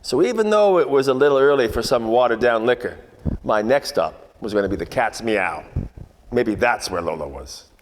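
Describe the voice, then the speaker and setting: An actor playing a world-weary, wisecracking 1940s private detective, narrating in hardboiled radio-play style with deadpan asides. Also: male voice, medium pitch, normal speed, in a large hall, close to an everyday voice. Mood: wry. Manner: wry, colloquial, deadpan asides.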